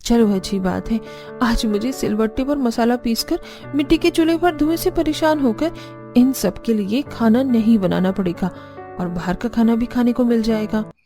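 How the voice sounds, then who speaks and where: Casting: actress as young daughter-in-law in a family cartoon, high-pitched, put-upon, pleading. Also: female voice, normal speed, dark tone, soft background music, natural voice. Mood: bitter muttering. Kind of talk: Hindi cartoon family drama dialogue.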